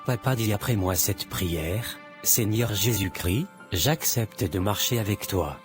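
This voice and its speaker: A man playing a devout, forgiving adult son in a cartoon, speaking earnestly and deliberately, a little preachy. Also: lowish voice, expressive. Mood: reverent. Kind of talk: dubbed cartoon dialogue. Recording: music under the voice.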